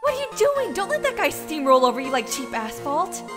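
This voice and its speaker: Woman doing a young lady defense lawyer, really high voice, feisty and exasperated, courtroom drama with music behind. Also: sing-song, fairly quick. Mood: feisty, pressing. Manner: emphatic, wry, urgent. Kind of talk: dramatic fan dub voice acting.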